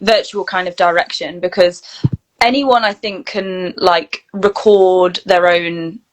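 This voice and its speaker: A woman's voice: on the low side, fairly even intonation, slow pace, rough recording. Chatty British woman in conversation. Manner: chatty, explanatory, often quotes imagined dialogue. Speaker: guest, voice reel studio founder and actor. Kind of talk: casual conversational interview.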